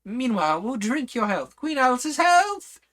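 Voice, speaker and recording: Red Queen, shrill and bossy, by a man. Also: expressive, moderate pace, fairly bright tone. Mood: shrieking. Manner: shrill, commanding, screaming orders. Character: imperious bossy adult female queen.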